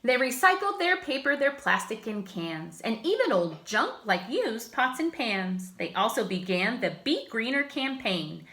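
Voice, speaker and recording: A woman reading a children's picture book aloud like a teacher, with expressive, emphatic phrasing. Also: fairly high pitch, a little slow, hardly any pauses. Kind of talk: children's story read-aloud.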